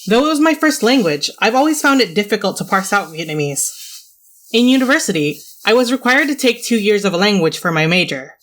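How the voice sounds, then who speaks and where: A young woman from California reading a scripted personal essay in a reflective, measured and wry way. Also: medium pitch, very animated, a bit bright. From Orange County, California. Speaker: video essayist.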